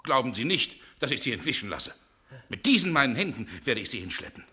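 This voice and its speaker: An actor playing a stern, indignant gentleman in a 1960s radio drama, with a commanding, scolding way of talking. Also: medium pitch, big pitch swings, quick pace, husky, exaggerated voice. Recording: noisy old 1960s radio recording.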